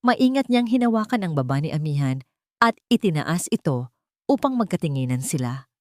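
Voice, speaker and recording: A woman narrating a scripted romance story in a deliberate, emotive, dramatic way. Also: low voice, big pitch swings, dry recording, clean studio sound.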